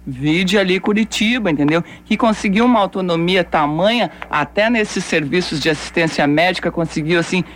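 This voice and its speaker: A woman's voice: fairly low pitch, normal speed, hardly any pauses, slight background noise. A woman from Bahia hosting a call-in radio show, talking bluntly and conversationally with rhetorical questions. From Bahia, Brazil. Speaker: radio host.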